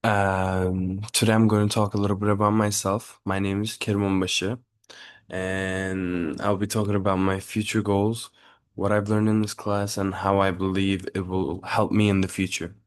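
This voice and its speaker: A man's voice: low voice, flat delivery, moderate pace, no echo, clean studio sound. A young man from Turkey giving a prepared class speech, earnest, with small restarts and fillers.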